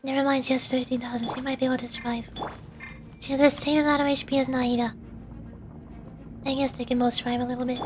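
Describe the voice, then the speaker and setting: A woman's voice: high-pitched, flat delivery, fast, long pauses, noisy background. A woman streaming a video game, giving casual commentary and thinking aloud in short bursts.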